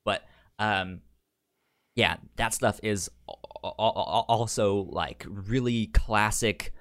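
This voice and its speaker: A man's voice: lowish voice, slow pace, fairly bright tone, no echo, clean studio sound. A man chatting casually as a podcast co-host, often stammering and repeating words and syllables. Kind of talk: casual movie podcast chat.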